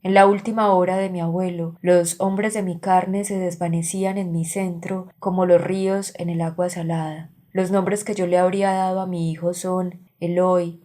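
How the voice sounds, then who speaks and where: A woman reading a literary essay aloud in a scripted, measured way, with careful phrasing. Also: lowish voice, dark tone. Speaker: reader of literary essays for a book channel.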